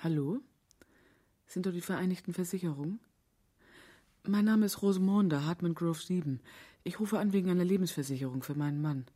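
An actress in a German radio drama playing a cool, wry, calculating wife and accomplice, dry and knowing in manner. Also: female voice, lowish voice, brisk, long pauses, mellow, breathy edge. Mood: calm, calculating.

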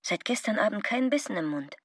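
An actress playing a frank, spirited woman in a radio play, with a husky voice and a direct manner. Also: medium pitch, expressive, a little fast. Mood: lively curious.

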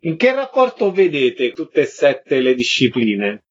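A man's voice: mid-range voice, wildly swooping pitch, unhurried, husky, dull audio. A man hosting a radio interview, introducing guests and putting questions to them.

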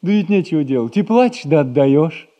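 Actor as an excitable, high-voiced honest young man. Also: brisk, dull audio, natural voice. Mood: earnest, resigned. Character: excitable, honest young man, poor widow's devoted son. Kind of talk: classic Russian stage comedy acting, audio play.

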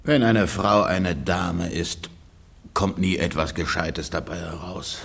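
A wronged rich farmer in a stage-style radio comedy. His voice is deep, creaky and husky. He declaims with bitter self-mockery, swinging between indignation and muttering. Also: takes it slowly, fairly bright tone, heavily acted. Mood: rueful, bitter. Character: bitter, self-mocking rich peasant, a cheated husband. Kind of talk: theatrical German radio play monologue.

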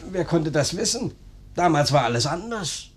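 A man's voice: fairly high pitch, sing-song, normal speed. A man playing a wry, hard-up beggar in a 1950s radio play, plaintive and sardonic. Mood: wry complaint. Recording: noisy old radio recording.

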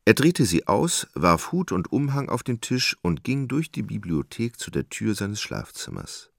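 A man narrating a literary radio play in a measured storytelling manner. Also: lowish voice, big pitch swings, vocal fry, no room sound, clean studio sound.

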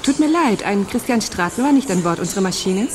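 An actress playing a polite airline desk clerk in a radio drama, courteous and businesslike. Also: female voice, medium pitch, expressive, fairly quick, over loud music, close to an everyday voice. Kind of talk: naturalistic German radio drama dialogue.

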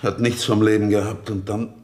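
A lowish male voice from an actor as an aggrieved country villager in a radio crime play. He is plain-spoken and defensive, protesting with a wounded air. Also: flat delivery, normal speed, a little mellow, lightly acted. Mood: grieving, shaken.

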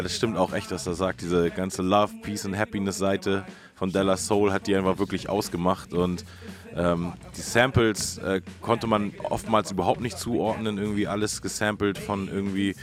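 A man hosting a radio show, talking casually about music history in colloquial, hesitant phrasing. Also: low voice. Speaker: radio host. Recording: music playing behind the voice.

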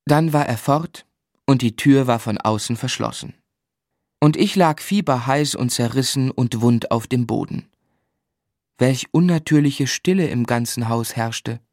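Actor as a grave, reflective literary narrator, dry sound. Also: male voice, medium pitch, a little mellow, no room sound, lightly acted. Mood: pained. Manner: measured, grave. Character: reflective grown man recalling his unhappy childhood. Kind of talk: scripted literary narration in German radio play.

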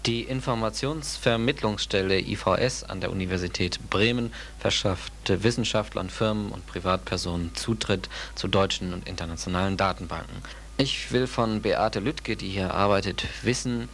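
Radio reporter dude narrating, lowish with lots of fry, scripted, noisy old cassette. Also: male voice, moderate pace, fairly bright tone. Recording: noisy digitized 1980s cassette.

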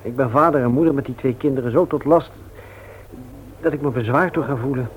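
An actor playing an earnest, reserved widowed father in a radio play, speaking in a hesitant, halting way. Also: mid-range voice, natural voice. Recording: tinny old radio recording with hiss.

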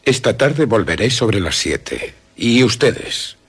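A man playing a grave, courteous country doctor in a radio drama, with a husky Castilian Spanish voice and measured, formal, authoritative delivery. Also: on the low side, very animated, full character voice. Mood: grave, firm.

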